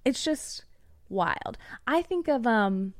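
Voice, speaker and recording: A woman's voice: fairly high pitch, animated, takes it slowly, no echo, clean studio sound. A young woman from East Tennessee, a podcast guest, ranting heatedly and swearing freely in casual conversation. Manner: heated, profanity-laced rants.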